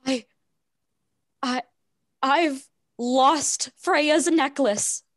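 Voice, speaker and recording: A woman's voice: high voice, very slow, no echo, studio quality. A woman playing a dreamy, slightly vain young wife in a modern radio drama. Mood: panicked.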